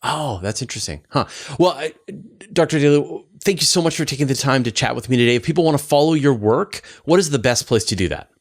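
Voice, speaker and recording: A man's voice: mid-range voice, expressive, fast. A man hosting a conversational science interview, curious and informal as he thinks aloud through his questions. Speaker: interviewer, science show host.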